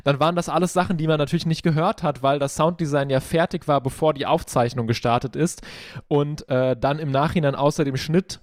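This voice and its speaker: Higher-voiced man explaining, close dry sound. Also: steady tone, a little fast, dry recording. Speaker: sound designer, podcast guest. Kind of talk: casual explanatory chat.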